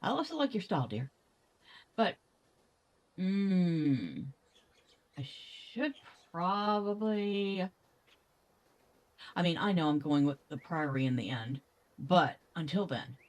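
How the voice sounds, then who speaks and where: A woman's voice: lowish voice, animated, takes it slowly, pauses often, darkish tone. A woman giving casual game commentary, chatty and playful as she thinks aloud. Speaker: gaming video host.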